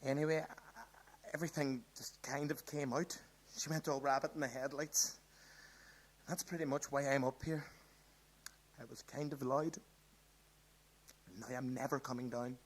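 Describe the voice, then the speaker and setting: A man's voice: mid-range voice, steady tone, normal speed, pauses often, lightly acted. A man acting an upset, put-upon teenage boy in a live radio play, naturalistic and self-justifying. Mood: agitated, hurt.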